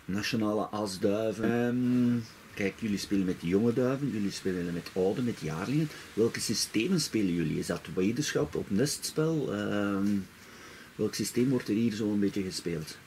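A man's voice: on the low side, moderate pace, a little mellow, slight background noise, low-quality recording. A man with a Dutch accent interviewing pigeon breeders in casual talk, hesitant, with many filler sounds.

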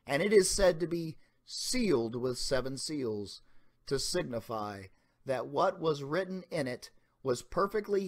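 A man giving a dramatic reading of an old sermon as a pastor, in solemn, deliberate, emphatic formal oratory. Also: mid-range voice, a bit bright.

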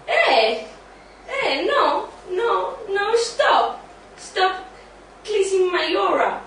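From far off in a very echoey room, a woman plays a wounded, freshly dumped sitcom guy. The delivery is slow, halting and plaintive, in a high voice. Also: big pitch swings, a bit bright, exaggerated voice. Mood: flustered, bitter. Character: mopey, wounded, newly dumped man, Ross. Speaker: student in an amateur dramatized reading. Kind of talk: amateur dramatized sitcom reading. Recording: distant, very echoey room, faint noise.

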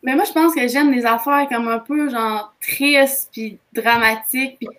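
A woman's voice: fairly high pitch, unhurried, a bit bright. A young adult woman with a Québécois French accent, chatting casually as a panelist on a video call. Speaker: talk show panelist. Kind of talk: casual chat. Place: small room at home, on a video call. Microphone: computer mic on a video call.

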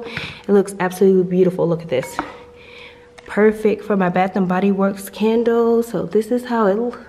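Mellow-voiced woman gushing on a home tour. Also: on the high side, a little hiss or hum. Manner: chatty and gushing, handheld walkthrough. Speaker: lifestyle vlogger. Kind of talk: casual home tour vlog. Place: apartment indoors. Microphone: handheld compact camera mic.

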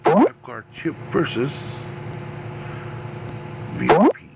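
A man's voice: on the high side, slow. A man with a cold and a scratchy voice, casually commenting as he plays a wrestling management game. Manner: relaxed, rambling narration of his picks. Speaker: gaming channel host. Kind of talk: let's play commentary.

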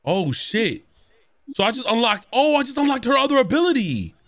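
A man's voice: extremely high pitch, sing-song, fairly quick, a bit bright. A man chatting casually while playing a video game with a friend, hyped up and full of slang and swearing. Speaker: gamer. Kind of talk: casual co-op gameplay chat.

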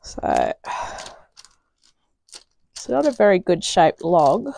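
A woman's voice: on the low side, wildly swooping pitch, takes it slowly, creaky, dark tone. A woman from New Zealand hosting a home cooking video, chatting casually as she works in her kitchen and talking herself through each step. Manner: chatty, homely, thinks aloud while cooking. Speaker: home cooking channel host. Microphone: camera mic in the kitchen, off to the side.

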